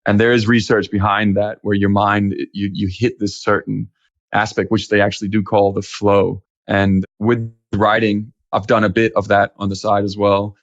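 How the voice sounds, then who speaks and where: A man's voice: on the low side, level tone, moderate pace, dry recording. A man from Denmark, a guest in a casual interview, talking in a rambling way with many fillers and restarts. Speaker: guest, animation creative lead. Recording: dull lo-fi audio.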